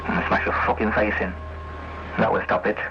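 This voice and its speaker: A man's voice: on the low side, flat delivery, moderate pace, creaky. An angry English man ranting confrontationally and repetitively over CB radio, swearing often. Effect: CB radio transmission. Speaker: CB radio user. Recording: noisy, muffled vintage CB radio recording.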